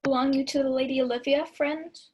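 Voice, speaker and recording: A woman's voice: high-pitched, steady tone, a little fast, darkish tone, close to an everyday voice. A young woman playing a genial, generous male duke in a radio-style Shakespeare play. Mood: amused, genial. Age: young adult.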